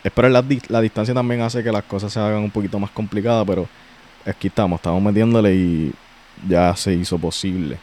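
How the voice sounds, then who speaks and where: A man with a Puerto Rican accent hosting a podcast, chatting casually in a slangy, relaxed way with frequent restarts. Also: on the low side, quick pace. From Puerto Rico. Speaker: podcast host.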